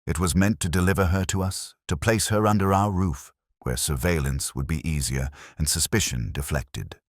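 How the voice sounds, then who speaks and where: Heavyset master detective for an audio whodunit, played by a male narrator: very deep, commanding, deliberate, dry close sound. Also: no room sound. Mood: grave, decisive. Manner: grave, pompous, deliberate. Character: ponderous, commanding, heavyset older genius detective.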